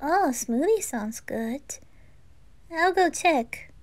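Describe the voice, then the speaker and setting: Gentle, bashful anime sailor boy played by a woman, highish and unhurried, caring and hesitant. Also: expressive, full character voice. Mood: friendly, bashful. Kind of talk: amateur anime audio roleplay.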